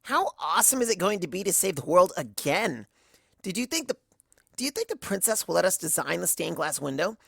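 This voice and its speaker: A woman's voice: lowish voice, very animated, a little fast, gravelly, fairly bright tone, no echo, studio quality, pitched above the performer's own voice, heavily acted. Woman doing a brash, cocky tomboy cartoon pony. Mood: boastful. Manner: boastful, slangy, blunt.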